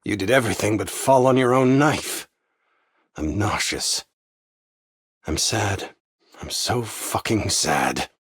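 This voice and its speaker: Husky, contemptuous game villain overseer. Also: male voice, fairly low pitch, slow pace, pauses often, no room sound, studio quality. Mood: disgusted despair. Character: contemptuous, bitterly disappointed villainous male overseer. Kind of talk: scripted video game character acting.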